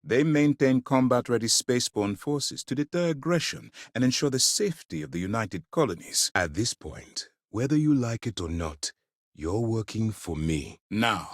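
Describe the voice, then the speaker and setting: A man voicing a stern, principled military commander in a video game, speaking formally and with deliberate authority. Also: mid-range voice, no room sound, studio quality, natural voice. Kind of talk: scripted video game dialogue.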